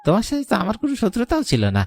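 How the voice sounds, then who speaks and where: A man's voice: very high voice, huge pitch swings, racing, a little mellow, a little hiss or hum, heavily acted. A brash hired killer from a cartoon horror tale. The voice swoops wildly up and down. He blusters like a gang boss, exaggerated in every phrase, with a coward underneath. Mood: frightened, pleading. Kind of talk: animated horror story acting.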